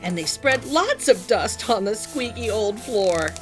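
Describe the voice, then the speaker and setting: Slow, very animated woman storyteller over music. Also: mid-range voice. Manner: animated, sing-song storytelling. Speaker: storytime reader for children. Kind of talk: expressive children's picture book read-aloud. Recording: music playing behind the voice.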